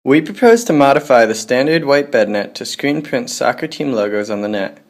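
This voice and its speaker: A man reading a scripted pitch in a plain, steady way. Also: moderate pace.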